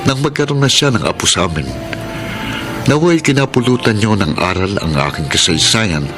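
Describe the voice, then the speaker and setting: A man acts out an earnest young Filipino suitor in a radio drama. His voice is low, and he speaks in a polite, measured way with loud music underneath. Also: animated, close to an everyday voice. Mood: reflective. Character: earnest, respectful young suitor and son-in-law.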